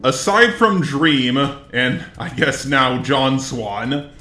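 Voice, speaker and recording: A man's voice: mid-range voice, expressive, slow pace, sharp tone, reverberant, soft background music. A man delivering opinionated drama commentary in a blunt, profane, indignant way. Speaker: commentary YouTuber, host.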